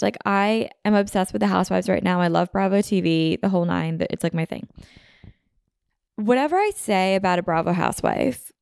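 A woman's voice: medium pitch, creaky, a little mellow, no echo, clean studio sound. A young American woman from the suburbs of Philadelphia hosting a podcast, rambling casually with lots of "like", a little sniffly from a runny nose. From Philadelphia suburbs, USA. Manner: rambling, heavy use of "like", self-interrupting. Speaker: podcast host. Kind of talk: casual chatty podcast monologue. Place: apartment room. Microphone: podcast mic on video.

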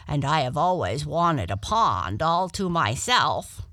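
A fussy, excitable male frog out of a children's storybook, acted by a woman. He is bossy and complains in formal phrases, with a bright, forceful tone and very animated pitch. The sound is close and dry. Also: slow, no echo, full character voice. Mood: smug.

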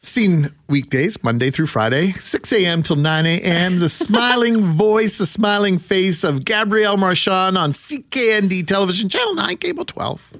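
A man's voice: sits high, expressive, moderate pace, few pauses, fairly bright tone. A Canadian man co-hosting a radio show with casual, playfully grandiose and emphatic banter. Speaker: radio co-host.

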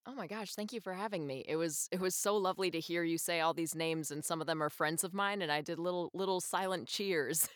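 A woman's voice: on the low side, fairly quick, hardly any pauses, dry recording. Chatty woman guest, dry sound. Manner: chatty, effusive. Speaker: audiobook narrator, interview guest. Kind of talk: casual interview chat.

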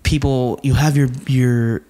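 A man's voice: mid-range voice, steady tone, measured pace, mellow. A young man with a slight Mexican accent, co-hosting a casual podcast and ranting bluntly, swearing freely. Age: young adult. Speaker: podcast co-host.

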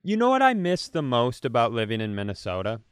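American man, podcast co-host, smooth clear voice, middle pitch, casual comedic banter. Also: wildly swooping pitch, brisk, dry recording, clean studio sound.

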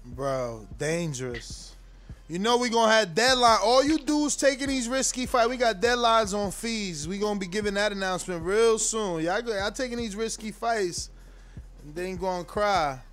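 A high-voiced man hosting a call-in show, talking in quick, loose slang. Light music plays faintly under his voice. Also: a bit bright. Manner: loose slangy banter, laughs often. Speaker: show host. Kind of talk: casual call-in show chat.

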